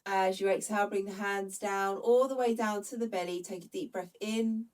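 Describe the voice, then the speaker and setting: A woman leads a slow Pilates class in a gentle, steady voice, her nose a little stuffed up from hay fever. Her voice is picked up from some distance from the mic. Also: mid-range voice. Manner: calm, gentle cueing. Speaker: Pilates instructor. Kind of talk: guided follow-along workout instruction.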